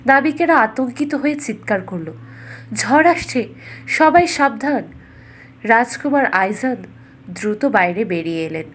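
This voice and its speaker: High-voiced woman narrating a fairy tale. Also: slightly rough. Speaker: storyteller.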